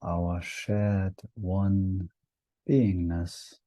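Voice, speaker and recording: A man leading a guided meditation in a gentle, hushed manner, leaving long pauses between short phrases. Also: sits low, little pitch movement, no echo.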